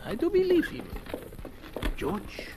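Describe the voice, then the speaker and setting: An actor playing a gruff, kindly older immigrant farmer with a German accent and emphatic broken English in a radio drama. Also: male voice, very high-pitched, huge pitch swings, slow pace, full character voice. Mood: earnest, insistent. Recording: noisy old radio drama recording.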